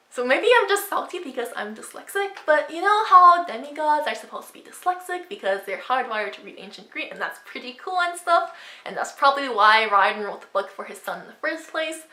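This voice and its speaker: A woman's voice: high voice, sharp tone. A woman giving emphatic, opinionated commentary to camera as a book vlogger. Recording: roomy echo.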